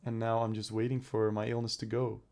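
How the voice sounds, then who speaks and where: A man's voice: on the low side, flat delivery, a little fast, darkish tone. A young man recovering from a cold, rambling and swearing as he thinks aloud in a personal video diary. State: recovering from a cold, throat clearing up. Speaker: vlogger.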